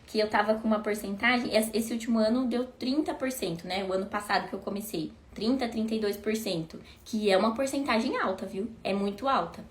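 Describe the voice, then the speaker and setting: A woman in her thirties with a fairly high voice talks straight to her viewers in an easy, conversational way. She keeps putting rhetorical questions to them and then answers them herself. The recording is roomy and echoey, with a faint hiss underneath. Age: 30s. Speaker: host. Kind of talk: casual talk to viewers. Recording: echoey room with faint noise.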